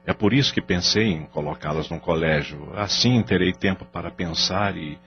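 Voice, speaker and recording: A man's voice: low voice, moderate pace. A man playing a worried middle-aged father in an audio drama, speaking in a hesitant, sighing way. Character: anxious middle-aged father caught in a scandal. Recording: dull lo-fi audio with faint music.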